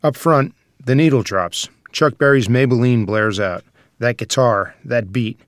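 A man's voice: mid-range voice, unhurried, no echo, clean studio sound. A man narrating the stage directions of a screenplay at a table read.

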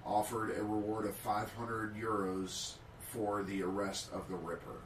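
Low-voiced man reading, roomy and off-mic. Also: level tone, measured pace, far from the mic, a little hiss or hum. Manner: reads prepared history notes with small slips. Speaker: podcast co-host. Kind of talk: casual chat and reading notes aloud.

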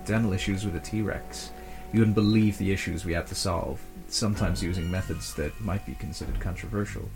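Man acting as deep-voiced, polite scientist, music behind. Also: gravelly. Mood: earnest, explanatory. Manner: explanatory, measured, polite. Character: earnest male genetic scientist defending his dinosaur work. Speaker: actor in an audio drama. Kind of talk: audio drama dialogue.

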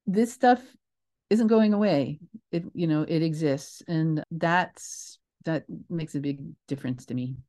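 An older woman telling stories as an interview guest in a casual, animated, emphatic way, often interrupting herself and digressing. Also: low voice, a little slow. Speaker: interview guest, theatre creator.

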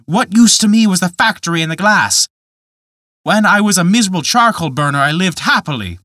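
A man reading aloud voices a desperate young male gambler, loud and agitated, in a dry, close recording. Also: a little high, very animated, moderate pace, no echo, voice pushed up. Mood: angry despair.

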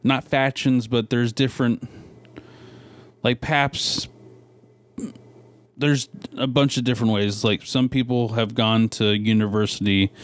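A man co-hosting a podcast, talking in a casual, colloquial way with halting phrasing. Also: medium pitch, steady tone, no room sound. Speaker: podcast co-host. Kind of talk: casual chat.

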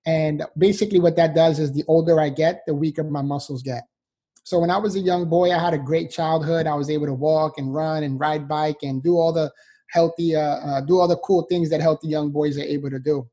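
Upbeat, mellow-voiced New man. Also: on the high side, fairly even intonation, a little fast. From New York, USA. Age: 40s. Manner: earnest, upbeat, with light laughs and fillers. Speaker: motivational speaker guest. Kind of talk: interview storytelling.

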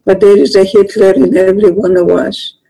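A woman's voice: medium pitch, level tone, brisk, vocal fry, mellow, poor audio. An older woman from Hungary, a guest author speaking in an earnest, plainspoken way with slightly phrasing. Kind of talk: conversational interview.

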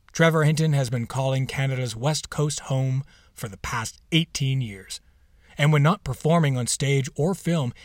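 Male host, scripted story introduction, medium pitch, dry studio-like sound. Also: dry recording.

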